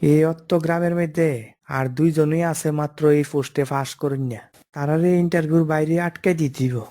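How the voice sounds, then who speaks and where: A man's voice: on the high side, steady tone, a little fast, mellow, close to an everyday voice. A man voicing a cocky, pushy office underling in a comic cartoon, wheedling and overconfident, with an eastern Bengali dialect. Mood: scheming.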